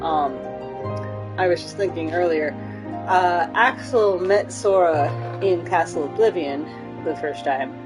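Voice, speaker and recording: A woman with a fairly low voice streams a game over clearly audible music. She comments casually, thinks aloud and checks herself with little questions. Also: unhurried.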